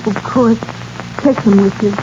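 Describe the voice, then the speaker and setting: A woman's voice: fairly high pitch, fairly even intonation, close to an everyday voice. A woman acting a composed, polite bereaved daughter in a 1940s radio drama. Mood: composed, sorrowful. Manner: polite, measured, proper. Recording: muffled, noisy 1940s radio recording.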